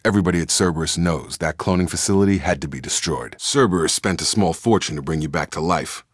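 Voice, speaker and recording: A man's voice: sits low, fast, no echo, studio quality. A man playing a loyal, guarded soldier in a video game, speaking in clipped, matter-of-fact military phrases. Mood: steady, serious. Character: steady, loyal male soldier, guarded and plainspoken. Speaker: video game squad member. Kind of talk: scripted video game dialogue.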